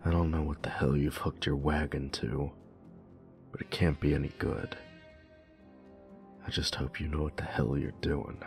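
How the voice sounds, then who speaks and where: A man playing a hard-boiled, commanding detective with terse, gruff delivery in an audio roleplay. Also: very deep voice, level tone, moderate pace, long pauses, soft background music. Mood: muttering, worried.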